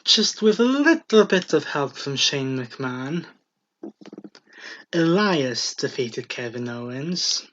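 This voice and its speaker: Male podcast co-host, unhurried delivery, muffled lo-fi audio. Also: on the high side, lively intonation, a bit bright, slight background noise. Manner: slow, hesitant, with fillers and laughs. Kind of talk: casual wrestling chat. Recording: dull lo-fi home recording.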